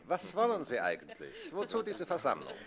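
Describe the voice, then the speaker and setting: An actor playing a mature, worldly count in a radio play, with a deep gravelly voice and a condescending manner. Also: male voice, huge pitch swings, moderate pace, fairly bright tone, full character voice. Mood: impatient. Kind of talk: theatrical radio play acting.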